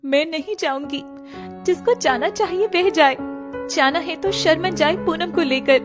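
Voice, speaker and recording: A woman's voice: extremely high pitch, measured pace, over music, pitched above the performer's own voice. A woman voicing a heartbroken, stubborn young bride in a tearful audio drama, pleading dramatically. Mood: defiant.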